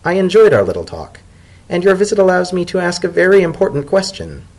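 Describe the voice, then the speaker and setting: A man acts out an old female zebra scholar for a storybook tale. The voice is pitched up and slightly husky. She speaks formally and with measured care, indulgent and gently wry, every bit the shrewd diplomat. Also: huge pitch swings, heavily acted, poor audio. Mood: shrewd, agreeable.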